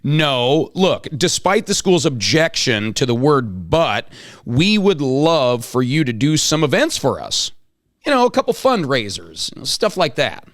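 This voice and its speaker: A man playing a flustered, long-winded, greedy school principal in an amateur comic table read, halting and exclamatory. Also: medium pitch, big pitch swings, dry recording, clean studio sound. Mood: eager, scheming.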